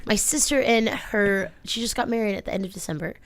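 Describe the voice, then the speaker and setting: A woman's voice: medium pitch, a little fast, background noise. A woman co-hosting a radio show, chatting and bantering casually. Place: radio studio.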